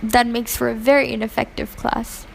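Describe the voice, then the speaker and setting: A woman from Pakistan, raised in Hong Kong, shares her views in an interview. Her voice sits a little high, and she speaks earnestly with frequent fillers and self-corrections. A faint background hiss runs under the recording. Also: level tone, moderate pace, gravelly. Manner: earnest, opinionated, many fillers and restarts. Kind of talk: casual interview answers.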